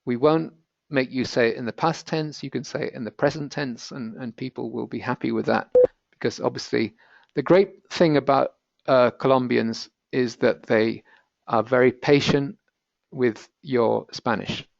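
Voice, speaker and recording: Gravelly British man teaching a webinar. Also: medium pitch, moderate pace, dry recording, dull audio. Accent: British English. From Britain. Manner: encouraging, explaining patiently. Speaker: language teacher presenting a webinar. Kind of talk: webinar language lesson.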